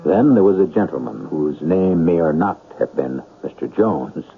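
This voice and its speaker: A man hosting a radio mystery show, narrating in an ominous, theatrical and deliberate style with suspense. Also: deep voice, animated. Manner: deliberate, suspenseful, rhetorical questions. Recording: muffled old radio broadcast, faint music. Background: faint music.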